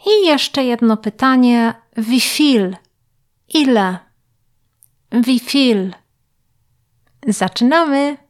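Slow, patient woman teaching German. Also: fairly high pitch, lively intonation. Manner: patient, carefully enunciated, pausing for the listener to repeat. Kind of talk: slow, clear language lesson with repetition drills.

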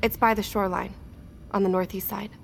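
A woman's voice: medium pitch, level tone, brisk, gravelly, a little hiss or hum, close to an everyday voice. An actress playing a worried, challenging woman in an audio drama, questioning and insistent.

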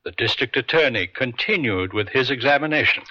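A man's voice: medium pitch, lively intonation, a little fast, bright, forceful tone. A man narrating an old radio courtroom drama in a scripted, storytelling style. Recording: dull lo-fi radio with music.

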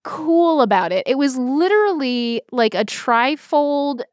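High-pitched woman chatting casually. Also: animated, unhurried, no echo, clean studio sound. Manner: rambling, self-deprecating, informal. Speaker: podcast co-host. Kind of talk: casual chat, personal storytelling.

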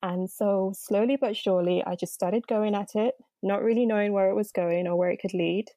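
A woman's voice: mid-range voice, fairly even intonation, brisk, warm tone, no room sound. A young woman from Nigeria, a podcast guest, telling her story in a chatty way with many fillers. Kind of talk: interview conversation.

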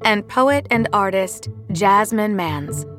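Female host, mid-pitched voice, polished announcer read over a clear music bed. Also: lively intonation, slow. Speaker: podcast host. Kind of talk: scripted episode introduction.

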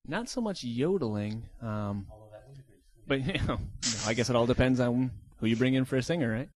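A man in his twenties from Michigan talks as a guest in a casual interview. He is relaxed and hesitant. His pitch sits in the middle. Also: normal speed. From Michigan, USA. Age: 20s. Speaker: band guitarist, guest.

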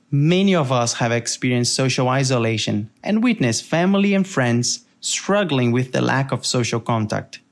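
Young male host, Brazilian accent, earnest and measured scripted read, mid-range voice. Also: medium pitch. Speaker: podcast host. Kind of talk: scripted narration.

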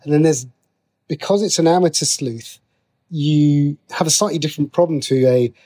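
Halting, explanatory man in an interview. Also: on the high side, warm tone. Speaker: interview guest, TV and radio writer. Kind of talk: conversational interview, explaining creative work.

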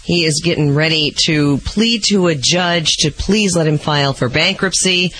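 Male radio co-host, bright, slightly high voice, casual storytelling, a bit hoarse. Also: moderate pace, poor audio. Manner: chatty storyteller, teasing. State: losing his voice. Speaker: radio co-host reading pet news. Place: radio studio.

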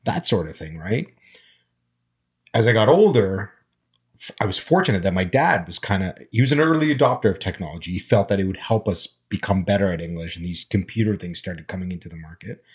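A man's voice: sits low, animated, a little fast, breathy edge. A man in his forties who grew up speaking Armenian and Arabic, reflecting on his past in a casual conversation. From immigrant, grew up speaking Armenian and Arabic. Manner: reflective, storytelling, frequent fillers. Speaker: panel guest.

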